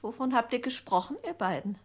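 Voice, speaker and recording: A woman playing a domineering, spiteful old mother in a radio play, sharp, needling and commanding. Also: on the high side, animated, fairly quick. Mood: querulous. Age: older.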